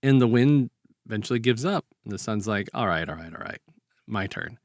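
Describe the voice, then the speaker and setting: A man's voice: lowish voice, expressive, fairly quick, no echo, studio quality. A man co-hosting a podcast, chatting and telling stories in a rambling, colloquial way. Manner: rambling, colloquial, acts out short quotes. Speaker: podcast co-host. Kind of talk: casual chat, informal storytelling.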